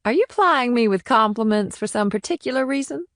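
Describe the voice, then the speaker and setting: A woman's voice: fairly high pitch, expressive, a little fast, fairly bright tone, no echo, studio quality. A woman playing a confident, proud and flirtatious innkeeper in audiobook dialogue, with a poised, self-assured manner. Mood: inviting, flirtatious. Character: confident, proud, flirtatious businesswoman running an upscale inn.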